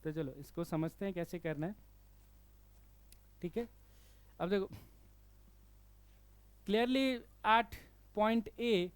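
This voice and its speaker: High-pitched, clear-voiced Indian male physics teacher. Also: no echo. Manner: step-by-step, checks in with the listener. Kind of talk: explanatory problem-solving lesson.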